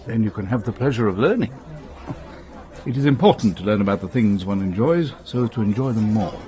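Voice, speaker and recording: An actor playing a courteous, solitary gentleman in a British radio drama, formal and precise, with a gently instructive manner. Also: fairly low pitch, animated, fairly quick, a faint crowd, natural voice.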